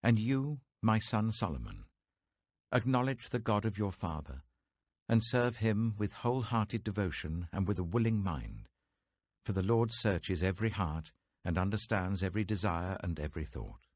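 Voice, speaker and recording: A man's voice: on the low side, expressive, moderate pace, darkish tone, no echo, studio quality. A British man voicing an aged, devout Israelite king who gives a solemn, weighty, fatherly address in a scripture audiobook. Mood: grave, exhorting.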